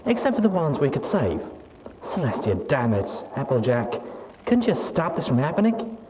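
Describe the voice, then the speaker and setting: An actor voicing a doomed, guilt-ridden pony, soft, halting, folksy and distraught, on a last recording. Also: big pitch swings, close to an everyday voice. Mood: despairing, remorseful. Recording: very noisy, rumbling wartime field recording. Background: sirens and bombardment noise.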